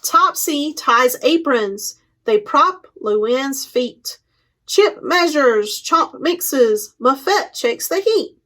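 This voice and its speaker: A woman hosts a children's storytime in a high-pitched voice. She reads at a slow, deliberate pace, leaning playfully on key words for dramatic effect. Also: lively intonation, a bit bright. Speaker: children's librarian, storytime host. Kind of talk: expressive picture-book read-aloud for children.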